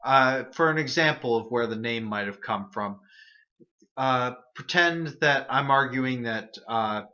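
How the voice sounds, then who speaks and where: A man's voice: mid-range voice, a little slow, pushed and bright, highs cut off. A man hosting his own video series, explaining reasoning errors in a casual, earnest monologue with frequent restarts and repeated words. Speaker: host of an atheist video series.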